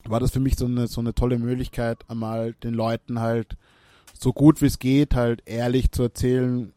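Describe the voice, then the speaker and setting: A musician, answering interview questions in a reflective way, with many restarts and fillers. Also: male voice, medium pitch, little pitch movement, moderate pace, mellow, dry recording. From Austria. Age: 30s.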